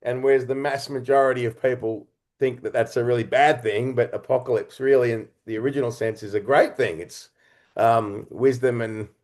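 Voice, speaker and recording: A man speaking as an interview guest, earnest and reflective, explaining his creative work in halting, conversational phrases. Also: medium pitch, fairly even intonation, darkish tone. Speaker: interview guest, writer-director and actor.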